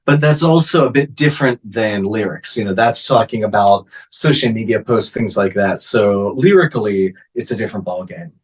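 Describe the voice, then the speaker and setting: A man interpreting for an interview guest, speaking her answers in first person in a measured way with plenty of fillers. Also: lowish voice, husky, low-quality recording.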